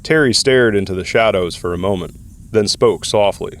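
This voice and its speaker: A man's voice: on the low side, moderate pace, a little hiss or hum. A man reading scripted narration for an audio drama. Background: background music.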